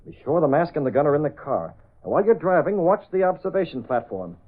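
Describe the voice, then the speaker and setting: An actor playing a confident, commanding newspaper boss and secret crimefighter in a 1930s radio drama, giving crisp orders and explanations. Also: male voice, a little high, sing-song, fast. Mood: calculating, commanding. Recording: old radio recording, narrow band.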